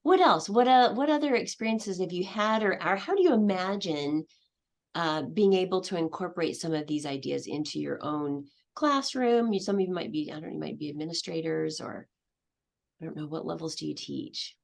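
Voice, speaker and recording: Low gravelly woman presenting on a video call. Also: animated, normal speed. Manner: conversational, many fillers and restarts. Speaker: conference presenter. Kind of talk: casual presentation with audience questions.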